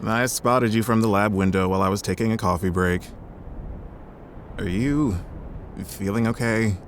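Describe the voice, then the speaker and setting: A man playing a gruff, blunt lab doctor. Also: lowish voice, normal speed, slight background noise, natural voice. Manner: blunt, dry, matter-of-fact. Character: blunt middle-aged male lab doctor, gruff but caring. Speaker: scientist character.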